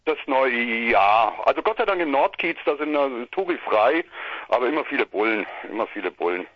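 A middle-aged man from southern Germany who tells his story in long, meandering stretches full of restarts. He speaks at a middling pitch. He is on a muffled phone line with no room echo. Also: sing-song, normal speed. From Bavaria/Swabia, Germany. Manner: rambling, restarts, chatty. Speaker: musician guest. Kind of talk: phone interview, casual storytelling.

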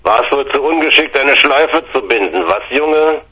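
A man's voice: mid-range voice, lively intonation, a little slow, sharp tone, slightly rough, highs cut off, voice pushed up, full character voice. A man voicing a grumpy, belligerent old pensioner uncle who scorns and bellows. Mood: sneering.

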